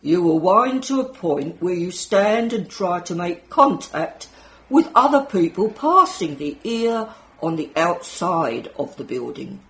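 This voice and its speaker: A woman voicing a calm, matter-of-fact male attendant at an exhibit entrance, within a story she reads. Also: fairly low pitch, a little slow. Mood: reassuring.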